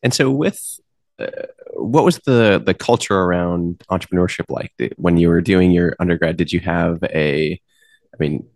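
A man's voice: low-pitched, expressive, normal speed, darkish tone, no echo. A man hosting a podcast who asks long, rambling, stacked questions. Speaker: podcast host. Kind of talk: podcast interview.

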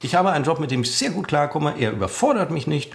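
A man's voice: a little high, sing-song, fast, creaky. A German man co-hosting a casual podcast chat, rambling and self-deprecating with many hesitant fillers. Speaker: podcast co-host.

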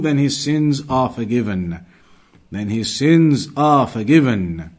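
Fast, higher-pitched man teaching religion. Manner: didactic, emphatic, repeating key phrases. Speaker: Islamic teacher giving a religious lesson. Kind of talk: religious lecture, quoting and translating scripture.

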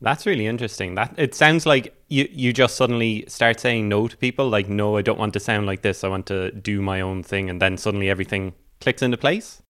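Interview host, curious, follow-up questions, mid pitch. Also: fairly quick, few pauses, a bit bright.

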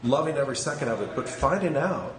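A man's voice: fairly high pitch, animated, fast. A man in his forties with a nasal voice and a cold, talking bluntly and emphatically as a guest in a casual podcast conversation. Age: 40s. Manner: blunt, emphatic, swears freely. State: has a cold. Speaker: singer of a hardcore band, podcast guest. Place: upstairs room of a restaurant. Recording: echoey room with faint background noise.

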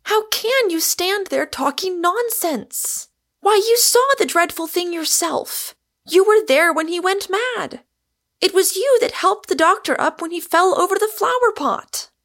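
A woman voicing a distraught, indignant young woman, shrill, emphatic and scolding, in an audiobook. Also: expressive, unhurried, a bit bright, heavily acted. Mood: anguished. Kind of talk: audiobook character voice.

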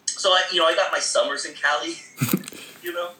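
Bright-voiced man telling stories casually. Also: on the high side, steady tone, moderate pace, roomy sound, rough recording. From Seattle area. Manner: rambling, many fillers, laughs often, acts out quoted voices. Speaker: guest. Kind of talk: casual conversational storytelling.

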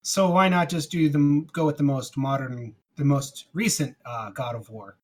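A man with a somewhat high-pitched, husky voice talks as a podcast co-host. He is informal and emphatic, pitching ideas in the style of a casual chat between friends. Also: moderate pace, darkish tone.

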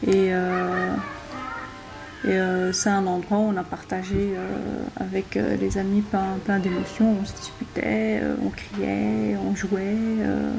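A woman's voice: medium pitch, completely flat delivery, slow, creaky. A woman interviewee recalling things aloud, hesitantly and informally. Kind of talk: hesitant spoken recollection. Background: music.

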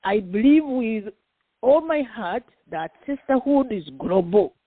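A woman's voice: fairly high pitch, expressive, unhurried, slightly rough, dry recording. A Ugandan-accented woman in her sixties, a professor and pastor, introducing herself in a radio interview, speaking expressively with some fillers. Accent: Ugandan, with some American Midwest. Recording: tinny phone line, dry.

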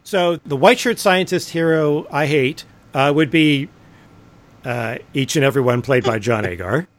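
A man's voice: on the high side, a little slow. A man co-hosting a podcast, giving opinions in a wry, dryly humorous casual chat. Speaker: podcast co-host.

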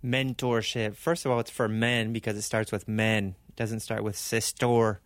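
A man raised in Argentina, a pastor, talking casually as a radio interview guest in short phrases with pauses between them. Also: on the low side, flat delivery, dry recording, studio quality. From born in California, raised in Argentina. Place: radio studio. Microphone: close studio microphone.

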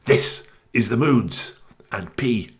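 A man's voice: mid-range voice, lively intonation, slow pace. A man hosting a radio music show, introducing a track in a spoken link.

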